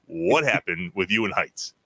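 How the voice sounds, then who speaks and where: A man's voice: low-pitched, animated, normal speed, sharp tone, husky, dry recording. An American man hosting a sports podcast, asking questions in a conversational interviewing style.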